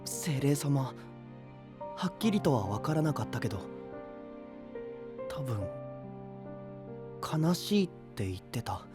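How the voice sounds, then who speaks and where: 抑揚豊かで柔らかな声の、責任感の強い若い王子。アニメのボイスドラマらしく、静かに考え込むような真摯な口調で話し、背景には小さく音楽が流れる。. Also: male voice, medium pitch, very animated, takes it slowly, pauses often, over music. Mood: pensive. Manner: soft, introspective, earnest. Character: gentle young male prince of a sea kingdom. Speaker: voice actor. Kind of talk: anime voice drama.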